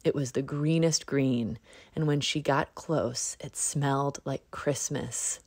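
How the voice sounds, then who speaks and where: Woman doing storytime narration, low voice, measured, no room echo. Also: steady tone, darkish tone, husky. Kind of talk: scripted children's story narration.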